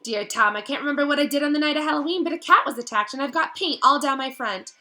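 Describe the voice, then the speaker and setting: A woman voicing a frightened young girl confessing to her diary, rushed and pleading. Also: sits high, bright, forceful tone, pitched above the performer's own voice. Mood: frantic.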